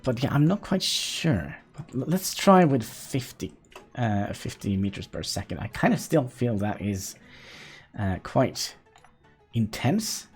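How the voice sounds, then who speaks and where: Gravelly man streaming a game. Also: mid-range voice, big pitch swings, a little slow, soft background music. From Norway. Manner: chatty, laughs often, talks to chat while playing. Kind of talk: casual live-stream gameplay commentary.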